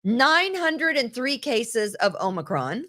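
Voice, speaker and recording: A woman hosting a political talk show, talking in an indignant, rapid way and often repeating herself. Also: a little high, very animated, sharp tone. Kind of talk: opinionated political chat. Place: New York.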